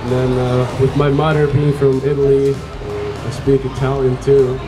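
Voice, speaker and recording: A man's voice: medium pitch, completely flat delivery, moderate pace, roomy sound, over music, rough recording. A young man with a Swiss German accent, answering fan questions in a plain, matter-of-fact way. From Switzerland. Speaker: hockey defenseman guest. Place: indoor rink event stage. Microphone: handheld mic.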